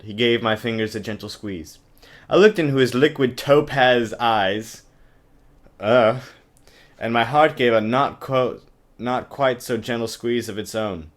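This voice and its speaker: A man with a mid-pitched voice performs a mocking reading of a novel. He is theatrical and exaggerated, dropping sarcastic comments as he goes. It sounds like an amateur webcam recording. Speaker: amateur reader and comic commentator. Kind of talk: mocking dramatic book reading with sarcastic asides.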